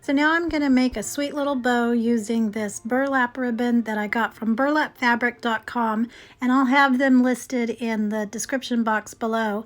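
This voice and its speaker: A woman hosting a craft tutorial, explaining each step in a chatty voice-over, her voice slightly hoarse. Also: on the high side. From California. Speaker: DIY craft channel host.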